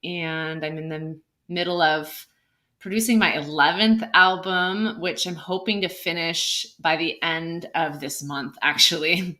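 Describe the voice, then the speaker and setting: A woman musician from California talking in a gentle, earnest way over a video call, laughing easily. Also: fairly low pitch. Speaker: musician guest. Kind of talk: singing then casual chat. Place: home, at a window with trees outside.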